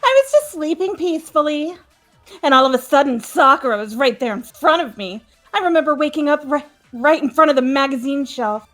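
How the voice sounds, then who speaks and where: Woman doing a high, theatrical killer girl, cheerfully deranged. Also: big pitch swings, fairly quick, fairly bright tone, faint music behind. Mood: playful.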